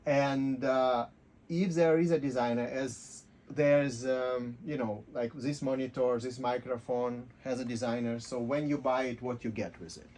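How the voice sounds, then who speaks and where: Mellow man, explaining with halting reasoning. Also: mid-range voice, fairly even intonation. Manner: halting, rhetorical, often ends points with "right?". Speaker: interview guest. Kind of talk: casual philosophical explanation.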